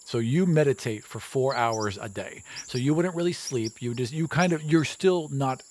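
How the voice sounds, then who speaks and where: A man running a tabletop game as game master, narrating in a brisk, matter-of-fact way. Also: medium pitch, animated, darkish tone, a little hiss or hum.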